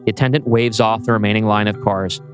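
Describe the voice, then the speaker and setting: A man's voice: on the low side, steady tone, fast. A man reading scene directions from a script as a podcast host. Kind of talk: scripted narration of a screenplay. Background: music.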